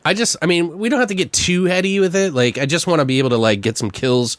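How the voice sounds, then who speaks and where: A man's voice: fairly high pitch, quick pace, dry recording, clean studio sound. A man hosting a podcast, thinking aloud in a casual, rambling, sweary way. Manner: rambling, profane. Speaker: podcast host. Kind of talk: casual improvised brainstorming chat.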